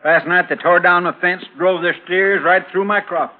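A man's voice: high-pitched, steady tone, normal speed, a little hiss or hum, natural voice. A man playing a beaten-down, bitter homesteader who grumbles plainly in an old radio western. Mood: weary, bitter. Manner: plain-spoken, resigned grumbling. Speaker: radio drama actor. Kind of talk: 1950s radio western acting. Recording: tinny old radio broadcast.

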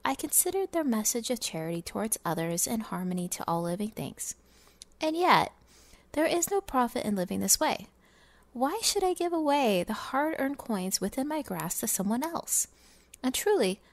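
A woman's voice: a little high, animated, moderate pace, dry recording. A woman voicing a pompous, scornful miserly businessman in a dramatic storybook reading of fantasy game lore. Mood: grandiose, scornful. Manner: theatrical, pompous, scornful delivery. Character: miserly, sneering, self-satisfied businessman narrating holiday memoir.

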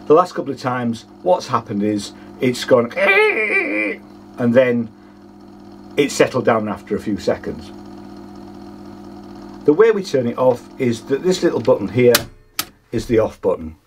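A man in his sixties with a husky voice gives an informal hands-on demonstration, explaining hesitantly as he thinks aloud. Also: mid-range voice, huge pitch swings, a little slow, long pauses, a little hiss or hum. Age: 60s. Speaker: vlogger demonstrating vintage audio gear. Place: small home studio with foam panels, indoors.

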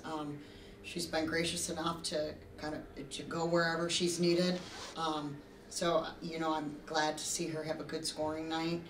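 A middle-aged woman speaking as a basketball head coach in postgame remarks, measured and hesitant with pauses. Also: low voice. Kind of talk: postgame press conference remarks. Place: indoor room, seated at table before backdrop. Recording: roomy, distant mic, noticeable background noise.

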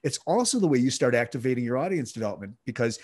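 A middle-aged man, a podcast producer, explaining his craft in a relaxed video-call interview, speaking fluently with frequent restarts and fillers. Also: mid-range voice, very fast. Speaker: podcast guest, audio producer.

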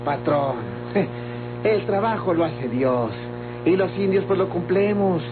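An actor playing a humble, pious peasant labourer in an old Mexican radio drama, servile and folksy with a Mexican accent. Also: male voice, high-pitched, lively intonation, slow pace. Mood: fawning, pious. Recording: tinny old radio recording, music behind.

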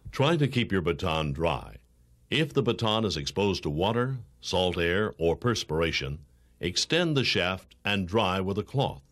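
A low-pitched American man delivers scripted training narration. His tone is formal and authoritative, with lively rises and falls across each measured, instructional sentence.

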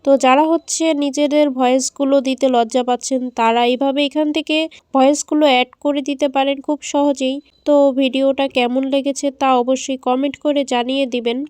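A woman's voice: sits high, flat delivery, fairly quick, darkish tone, dry recording. A woman hosting a casual step-by-step app tutorial. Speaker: tech tutorial host.

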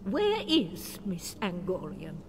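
An actress playing a blunt, determined heroine in a radio drama, forthright and expressive. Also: female voice, fairly low pitch, slow, noisy background, exaggerated voice. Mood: defiant. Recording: music under the dialogue.

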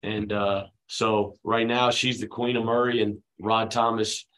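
Low-voiced man coaching a postgame presser. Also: unhurried, rough recording. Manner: relaxed, conversational, many fillers. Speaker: head basketball coach. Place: press room at a team table, his children beside him. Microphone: table microphone.

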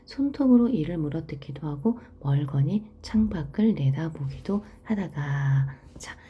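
A woman teaching literature, reading a story aloud and explaining it in a soft, half-whispered delivery. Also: sits low, husky, dull audio.

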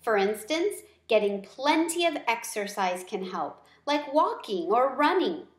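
A kindly storybook schoolteacher, read by a woman. She speaks helpfully and conversationally, slightly raised in pitch, in an echoey room. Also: sing-song, unhurried. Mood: friendly. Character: kindly female schoolteacher advising and chatting. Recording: roomy home recording.

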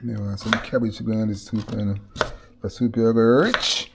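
A man's voice: on the low side, steady tone, normal speed, very noisy. Laid-back Jamaican man in his kitchen. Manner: laid-back, drifting into Patois, chuckling asides. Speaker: cooking vlogger. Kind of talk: casual cooking chat with storytelling. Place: home kitchen, indoors. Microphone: head-mounted camera, hands-on cooking.

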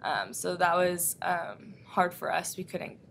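A young woman, a college volleyball player, answering a press question in a measured way with some "um"s. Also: fairly low pitch, expressive, creaky, fairly bright tone, a little hiss or hum. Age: young adult. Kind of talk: postgame press conference answers. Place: press room, seated at a table. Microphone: table mic on a stand.

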